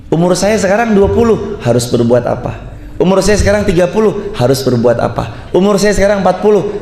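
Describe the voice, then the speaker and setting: A man's voice: on the high side, darkish tone. Emphatic male preacher in echoey mosque. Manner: emphatic, questions to the congregation, quotes Arabic. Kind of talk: mosque sermon.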